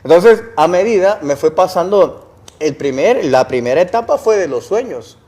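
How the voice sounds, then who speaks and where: A man's voice: high voice, lively intonation, normal speed, dark tone, slight background noise. Earnest man telling his story. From El Salvador. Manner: earnest, explains with rhetorical questions. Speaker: guest. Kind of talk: casual interview storytelling.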